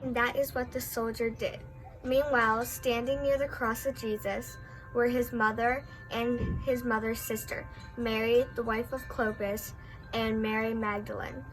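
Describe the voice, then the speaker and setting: Female lay scripture reader, fairly high voice, faint music behind. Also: level tone, unhurried, poor audio. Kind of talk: scripture reading.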